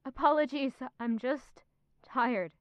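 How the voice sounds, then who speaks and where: An evasive young noblewoman in an audio drama. Her voice is high and slow, guarded and reluctant. The recording is dry and a little dull. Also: dry recording. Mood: weary.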